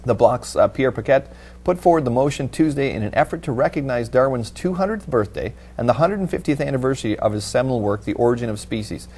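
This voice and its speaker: Male TV host with halting delivery. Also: mid-range voice, animated, darkish tone, breathy edge. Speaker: TV panel host. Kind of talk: panel discussion, reading news articles. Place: TV studio at a panel table. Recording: audible background noise with hum.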